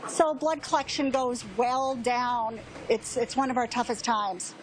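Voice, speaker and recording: A woman's voice: a little high, a little slow, rough recording. A woman speaking in an interview as a blood center spokesperson. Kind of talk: interview remarks.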